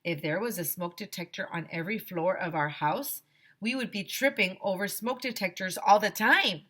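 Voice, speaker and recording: A woman teacher reading a children's chapter book aloud in an expressive, animated storytelling style. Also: mid-range voice, normal speed. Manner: expressive, dramatizes the dialogue.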